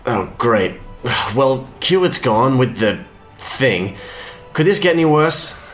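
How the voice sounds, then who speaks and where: A man's voice: mid-range voice, big pitch swings, slow, fairly bright tone, soft background music. A man playing a wisecracking, sarcastic and impatient smuggler in a space audio drama. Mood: exasperated.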